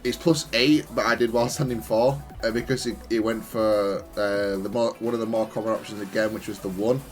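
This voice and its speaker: Guy with a lowish voice talking thru his fighting game replay, kinda stop-start explaining, music playing behind. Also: fairly even intonation, a little fast. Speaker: gamer analysing own matches.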